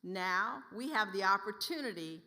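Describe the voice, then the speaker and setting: A woman's voice: mid-range voice, lively intonation, normal speed, pushed and bright. A woman reading a scripted introduction as a presenter at an event. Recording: long hall reverb.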